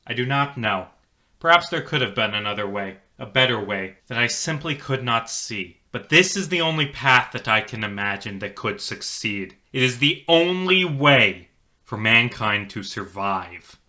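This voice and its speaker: A man playing a grave, weary starship founder giving a solemn, theatrical, deliberate monologue in a science-fiction story. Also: mid-range voice, animated, pushed and bright. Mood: solemn, anguished. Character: grave, weary ship's founder leaving a recorded confession.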